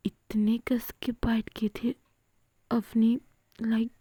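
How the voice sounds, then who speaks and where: A woman narrating a romantic story in a soft whisper, often pausing on fillers. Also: fairly high pitch, little pitch movement, slightly rough.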